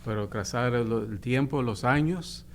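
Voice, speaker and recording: An older man, a pastor, answering interview questions slowly with many fillers and restarts. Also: mid-range voice, a little hiss or hum. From United States. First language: Spanish heritage, raised in New York. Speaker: pastor, church official.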